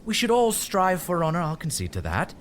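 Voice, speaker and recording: An actor playing a bitter, proud older English knight in an audio drama, scornful and menacing in manner. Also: male voice, on the high side, huge pitch swings, fairly quick, a bit bright, slight background noise. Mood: scornful, impassioned. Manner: scornful, theatrical, swinging from bluster to menace.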